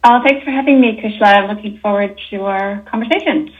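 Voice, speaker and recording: A woman's voice: mid-range voice, brisk, lo-fi sound. Canadian lady in her 50s chatting on a podcast, talks easy, restarts her sentences a lot. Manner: chatty, with self-corrections and soft laughs. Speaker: guest, naturopathic doctor. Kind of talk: casual interview talk.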